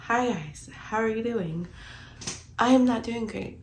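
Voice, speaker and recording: A woman's voice: a little high, measured pace, husky, rough recording. A young woman with a congested, stuffy voice, chatting casually about her reading in a rambling vlog, full of "like". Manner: chatty, heavy use of filler "like". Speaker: book vlogger.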